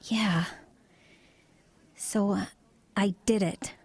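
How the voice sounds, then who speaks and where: A female truck driver in an audio drama, with a soft, mellow voice at a middling pitch. She tells her eerie story matter-of-factly and with understatement, pausing to search for the right words. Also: fairly even intonation, slightly rough, no room sound, full character voice. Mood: quiet, wistful. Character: woman truck driver recounting eerie roadside visions, matter-of-fact.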